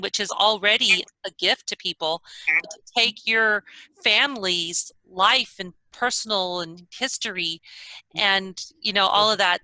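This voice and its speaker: Very bright-voiced, effusive woman. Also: mid-range voice, no room sound, low-quality recording. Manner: effusive, trailing phrases. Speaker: table read participant giving feedback. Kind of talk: casual, heartfelt feedback. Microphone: video call.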